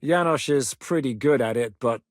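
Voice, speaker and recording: A man's voice: mid-range voice, moderate pace, no room sound, studio quality, natural voice. An actor voicing a blunt, battle-hardened male rebel commander in a game, giving plain talk and bellowed battle orders. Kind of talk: game voice acting.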